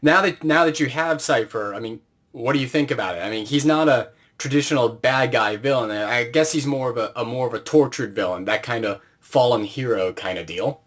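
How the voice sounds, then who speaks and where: A man's voice: mid-range voice, a little fast, fairly bright tone. A man conducting a casual interview, speaking conversationally as the interviewer.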